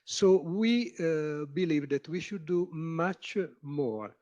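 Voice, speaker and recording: Halting man, dull audio. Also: a little high, animated, a little mellow. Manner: halting, many filler sounds. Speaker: panel moderator. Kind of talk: conference panel introduction.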